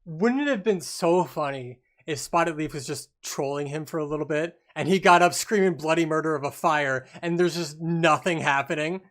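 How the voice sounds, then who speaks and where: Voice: medium pitch, moderate pace, few pauses, a bit bright. A podcast host in a casual book club discussion, talking informally and swearing freely.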